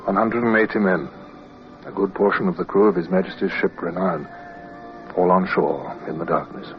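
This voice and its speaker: Man playing British naval officer, low voice, formal, earnest, composed, radio drama, dull old recording. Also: fairly even intonation, faint music behind, lightly acted. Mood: tense, reflective. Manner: formal, measured, clipped orders. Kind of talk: radio drama acting and first-person narration.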